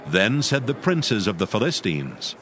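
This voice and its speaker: A man narrating a dramatized audio Bible, reading scripture as a solemn, measured storyteller. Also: lowish voice, very animated, a bit bright.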